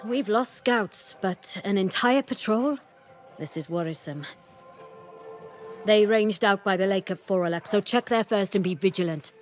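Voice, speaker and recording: A woman's voice: medium pitch, unhurried, soft background music, natural voice. An actress playing a skeptical, hard-pressed woman captain in a fantasy video game, speaking in a dry, formal, commanding way. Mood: grave, worried. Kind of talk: dramatic video game character acting.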